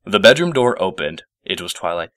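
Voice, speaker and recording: A man narrating a scripted story as an expressive storyteller. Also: low-pitched, a little fast, dry recording, studio quality.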